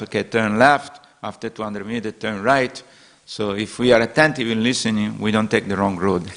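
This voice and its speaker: A man preaching in a temple hall at a middling pitch. His delivery is earnest and steady, built from short repeated phrases in slightly non-native English. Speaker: preacher giving a scripture class. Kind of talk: devotional sermon.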